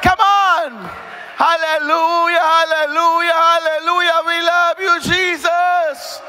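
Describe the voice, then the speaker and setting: A man's voice: extremely high pitch, level tone, slow, sharp tone, raised voice. Piercing Filipino male worship leader over crowd. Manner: urging, repetitive short calls. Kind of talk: shouted worship exhortation. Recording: noisy crowd ambience. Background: congregation crowd noise.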